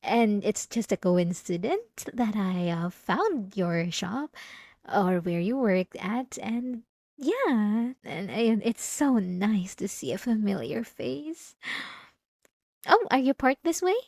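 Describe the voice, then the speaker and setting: A woman playing a sweet, devout, obsessive young church girl in an ASMR roleplay, speaking haltingly with apologetic, feigned innocence. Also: medium pitch, animated, darkish tone, dry recording, studio quality. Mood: delighted. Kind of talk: ASMR roleplay drama, addressed to the listener.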